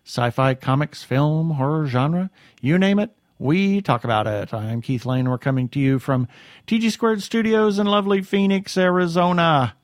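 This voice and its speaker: A man's voice: fairly high pitch, lively intonation, normal speed, no room sound, clean studio sound. An American man hosting a webcast and interviewing in a conversational way. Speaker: webcast host.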